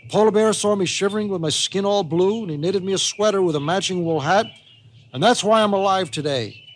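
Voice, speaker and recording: A man's voice: high-pitched, fairly quick, slight background noise, voice pushed up, lightly acted. A man voicing an eccentric old grandfather who tells tall tales in an earnest, emphatic way for a storybook. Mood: earnest, boastful. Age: older.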